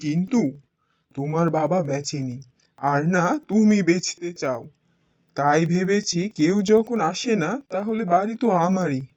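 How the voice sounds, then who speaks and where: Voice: mid-range voice, lively intonation, unhurried, darkish tone, no echo. A voice actor playing an old male caretaker in a cartoon, a scheming, fawning villain. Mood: confessing. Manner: fawning, then confessing villain. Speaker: cartoon character voice.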